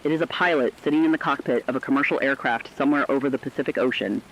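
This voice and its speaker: A man playing a solemn commentator recounting a catastrophe in scripted radio narration, deliberate, literary and emphatic. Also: mid-range voice, fairly bright tone, a little hiss or hum, lightly acted, low-quality recording.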